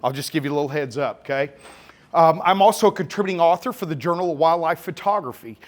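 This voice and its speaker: A man's voice: fairly high pitch, fast. A middle-aged man with a slurry Texas-Oklahoma drawl giving a chatty, teasing live photography talk to a full room. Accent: Texas-Oklahoma blend. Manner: joking, teasing, sarcastic, chatty. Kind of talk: live presentation to an audience. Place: camera store event room with audience.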